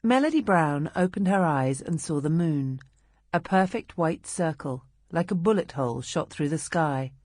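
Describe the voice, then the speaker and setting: A woman with a British accent narrates a novel in a low register. Her delivery is measured and expressive, shaped for storytelling, and the sound is dry and free of room echo. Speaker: audiobook narrator. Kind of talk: scripted literary narration.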